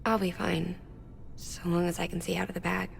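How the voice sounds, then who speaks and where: A woman playing a frail, gentle, weary woman in a dramatic game cutscene, with a soft, breathy, halting delivery. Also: lowish voice, flat delivery, slight background noise. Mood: wistful, tender.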